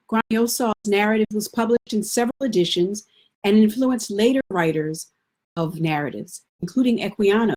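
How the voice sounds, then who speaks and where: A woman reads a scripted talk about early writers, steady and informative. Her voice sits somewhat low. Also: low-quality recording. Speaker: presenter. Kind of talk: scripted literary history talk.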